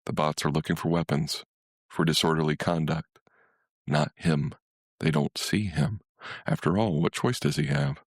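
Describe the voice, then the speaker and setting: A man's voice: extremely low pitch, steady tone, normal speed, creaky, no room sound, clean studio sound. A man reading a literary short story as scripted narration.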